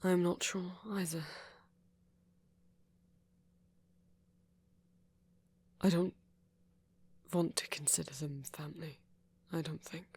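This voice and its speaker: A performer playing a soft-spoken, wounded male vampire in an intimate audio roleplay, tentative and tender, often trailing off. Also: mid-range voice, fairly even intonation, moderate pace, breathy edge. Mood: unsure, sad.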